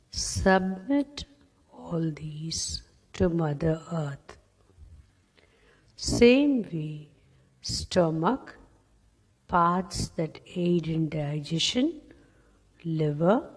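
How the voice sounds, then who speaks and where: A woman with a slightly low voice leads a guided relaxation at an extremely slow pace. She gives calm instructions in short phrases with space between them, and a long reverb trails her voice. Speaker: yoga nidra meditation guide. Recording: long reverberant hall-like echo.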